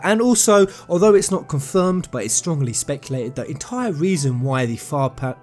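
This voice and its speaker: A man's voice: a little high, a little mellow. A British man hosting casual fan commentary, talking to viewers in an emphatic, chatty, enthusiastic way. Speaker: video host.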